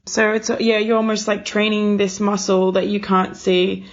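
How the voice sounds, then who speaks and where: A woman's voice: medium pitch, flat delivery, normal speed. A woman interviewer speaking conversationally, with an encouraging, affirming manner. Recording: slightly dull, lo-fi sound.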